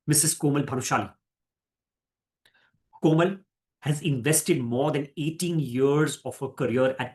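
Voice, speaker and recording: A man with an Indian accent giving an orientation talk as a company director, speaking deliberately and emphatically, repeating phrases for emphasis. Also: mid-range voice. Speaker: managing director leading an orientation. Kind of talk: orientation talk to new franchise partners.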